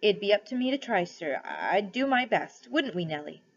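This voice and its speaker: A woman's voice: medium pitch, very animated, normal speed, lo-fi sound. A woman voicing a humble, honest male cabby from a storybook, hesitant and deferential, with a rustic Cockney accent. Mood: earnest. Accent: Cockney softening to country. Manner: deferential, hesitant, plain-spoken.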